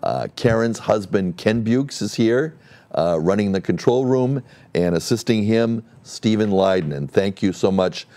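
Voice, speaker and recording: A man with a low, warm voice guest-hosts a community cable talk show in a studio. He is friendly and a little halting, reading announcements with frequent fillers. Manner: friendly, reads sponsor list with fillers. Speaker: guest host.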